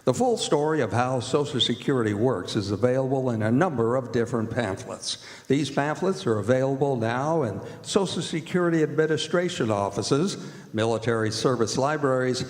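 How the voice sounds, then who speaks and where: A man's voice: mid-range voice, normal speed, darkish tone. Echoey male old-time radio announcer. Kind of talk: 1940s radio announcing, scripted ad reads. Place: performing arts center stage. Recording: echoey hall with long reverb.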